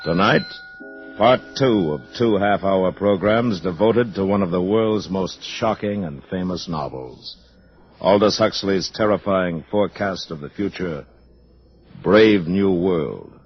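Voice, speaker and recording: A man with an American accent announcing formally and grandly in deliberate 1950s radio style. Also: low voice. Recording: dull old radio broadcast recording. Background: faint orchestral music.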